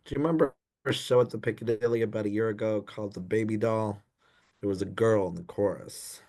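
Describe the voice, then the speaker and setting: An actor playing an anxious, dim young gentleman in a comic period radio play, dithering and apologetic in posh old-fashioned slang. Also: on the low side, fairly even intonation, brisk, natural voice. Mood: embarrassed confession. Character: anxious, dim young upper-class Edwardian gentleman in trouble.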